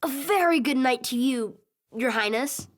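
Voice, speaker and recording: A woman's voice: high-pitched, a little slow. A woman voicing an eager, polite little boy in a video game cutscene. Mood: eager, excited.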